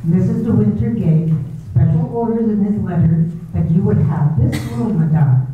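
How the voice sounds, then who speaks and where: A woman's voice: mid-range voice, phone-quality sound, lightly acted. Echoey, distant, stiff housekeeper played by a woman. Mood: coolly formal. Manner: formal, deliberate, coolly deferential. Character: cold, stiff, resentful housekeeper of a great manor. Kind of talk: readers-theater staging of a 1938 radio drama. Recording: roomy, thin, distant, faintly noisy stage recording.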